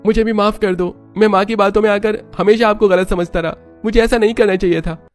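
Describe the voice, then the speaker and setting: A man's voice: high voice, steady tone, a little fast, faint music behind, voice pushed up, close to an everyday voice. A man voicing an aggrieved young married son in a cartoon family drama, speaking emphatically. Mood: remorseful. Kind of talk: cartoon story dialogue.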